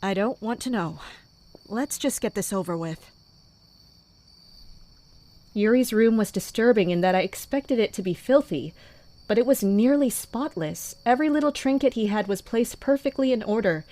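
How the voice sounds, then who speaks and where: A composed woman who captains mercenaries , as voiced by an actress. She tells her story in a measured, matter-of-fact tone with a dry edge, at a middle pitch, in the style of an audio drama. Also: animated, warm tone, close to an everyday voice. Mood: grim, focused. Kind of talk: first-person narration and dialogue in an audio drama.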